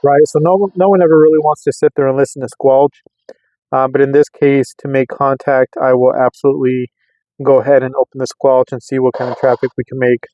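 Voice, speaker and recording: A middle-aged man gives a casual, rambling product test to camera. His voice sits at an average pitch and he keeps restating his points insistently. The sound is dry and a little dull. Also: little pitch movement, a little fast, mellow, dry recording. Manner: repetitive, insistent, circling back to restate points. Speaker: radio enthusiast vlogger. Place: in a vehicle on a road test.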